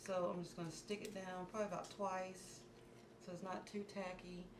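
A woman in her thirties hosting a step-by-step makeup tutorial in a small indoor room. She talks in a calm, instructive way and sounds a little hoarse, as if losing her voice. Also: lowish voice, normal speed. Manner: calm, instructive, softens to near murmur while working. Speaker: beauty vlogger. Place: small indoor room against a plain wall. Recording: echoey room with background hiss.

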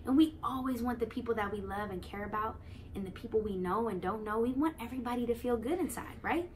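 A young American woman reading a picture book aloud to children, expressively, pausing to explain and ask the young listeners questions. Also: on the high side, slight background noise. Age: young adult. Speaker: children's read-aloud host. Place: living room, on a couch.

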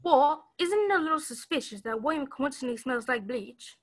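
A woman's voice: sits high, expressive, a little fast. A woman playing a mild, placating suspect in a radio mystery play.

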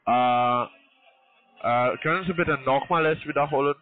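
A man's voice: medium pitch, a little slow, a little hiss or hum. Foreign-accented man on a dry phone line. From Israel. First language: not German. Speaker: call centre agent. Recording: narrow phone line, music.

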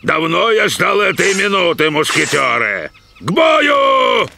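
A man's voice: sits high, big pitch swings, takes it slowly, creaky, pushed and bright, a little hiss or hum, full character voice. A man voicing a haughty, hot-tempered animal guard captain in a dubbed cartoon, sneering and commanding. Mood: menacing. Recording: old cartoon dub with faint music.

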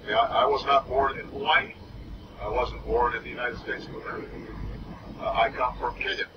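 A man giving a public speech, halting, with fillers and restarts. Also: mid-range voice, steady tone. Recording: distant muffled noisy archive clip.

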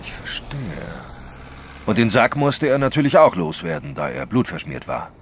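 An actor playing an impatient, baffled detective's companion in a German audio drama, excitable, questioning and sceptical. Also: male voice, medium pitch, normal speed, vocal fry, full character voice.

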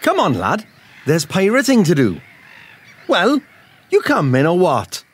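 A man's voice: high voice, wildly swooping pitch, measured pace, a little hiss or hum, full character voice. A man playing an eccentric, lewd pirate crewman in an audio comedy drama, theatrical and leering.

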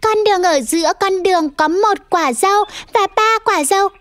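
A woman's voice: very high voice, normal speed, slight background noise. A woman voicing a small childlike creature in a children's cartoon. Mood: worried. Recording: loud music under the voice.

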